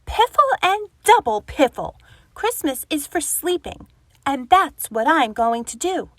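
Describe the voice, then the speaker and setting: A woman's voice: high voice, big pitch swings, a little slow, a bit bright, no room sound, pitched above the performer's own voice, exaggerated voice. Woman putting on a blustering, grumpy badger. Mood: grumpy, indignant. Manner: spluttering, huffing, snorting. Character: grumpy, blustering male badger who wants peace.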